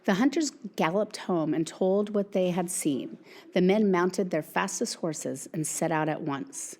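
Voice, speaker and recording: A woman reading a picture book aloud in a measured, careful way. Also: lowish voice, darkish tone, slight background noise.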